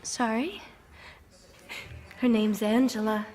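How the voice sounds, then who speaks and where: Audio drama: sweetly unhinged young handmaid, high voice, cooing and dreamy. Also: female voice, slow pace, darkish tone. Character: deluded grieving young handmaid, sing-song sweet, cradling imaginary baby.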